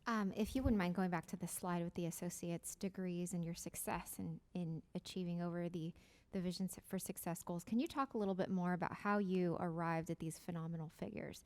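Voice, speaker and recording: A woman's voice: on the low side, steady tone, a little fast. A woman board member asking friendly, inquisitive questions with a light, joking touch.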